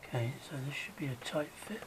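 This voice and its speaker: A man with a croaky voice gives a casual, hands-on how-to while working on an engine in a garage, chatting along and dropping to a mutter as he works. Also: a little high, lively intonation, a little fast, noisy background. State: croaky, recovering from illness. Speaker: DIY car repair vlogger.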